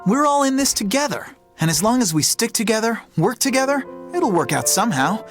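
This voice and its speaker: A determined teenage boy hero in a video game. He talks in a blunt, casual, rallying way with lively intonation, over faint background music. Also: a little high, fairly quick. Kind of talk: animated video game voice acting.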